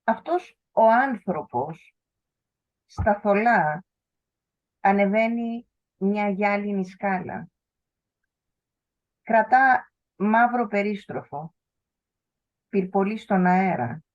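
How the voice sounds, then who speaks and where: A woman whose first language is Greek, reading her poem slowly and with measure over a video call. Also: mid-range voice, muffled recording. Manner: slow, measured recitation. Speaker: poet. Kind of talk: poetry reading.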